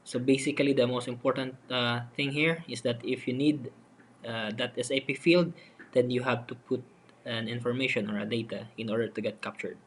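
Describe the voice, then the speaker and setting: A man giving a halting, unscripted, step-by-step software demonstration as a voice-over for a screen recording. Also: mid-range voice. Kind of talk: step-by-step screen tutorial narration. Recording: noticeable steady background noise.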